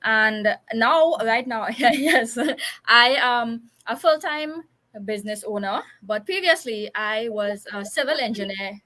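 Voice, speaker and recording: Female guest, thirties, Trinidadian. Slightly high, very bright voice; chatty, explanatory, casual live-chat delivery. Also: takes it slowly. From Trinidad and Tobago. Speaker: interview guest, business owner.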